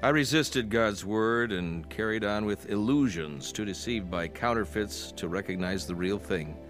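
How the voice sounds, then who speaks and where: A male actor as a regretful narrator giving a scripted, confessional testimony in a measured way. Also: fairly low pitch, fairly bright tone, faint music behind, lightly acted. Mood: regretful, reflective. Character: regretful older narrator recalling his sinful youth.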